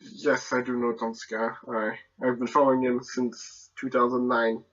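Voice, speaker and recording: A young man chats casually on a podcast over dull, lo-fi audio. His voice is bright and forward, and he talks hesitantly, repeating words as he goes. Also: medium pitch, flat delivery, measured pace. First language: Spanish and French. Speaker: podcast guest.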